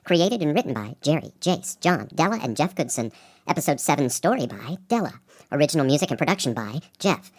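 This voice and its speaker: A woman's voice: low-pitched, big pitch swings, a little fast. A woman announcer reading scripted closing credits.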